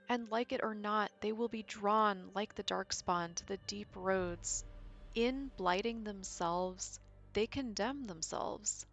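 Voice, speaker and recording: Female narrator, mid pitch, measured and serious scripted lore essay, music behind. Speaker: video game lore narrator. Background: background music.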